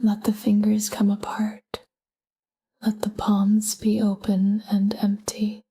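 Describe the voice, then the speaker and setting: Breathy whispering woman guiding sleep, very slow. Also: medium pitch. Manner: calm, gentle, unhurried with short phrases. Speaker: sleep meditation guide. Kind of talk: whispered guided sleep meditation.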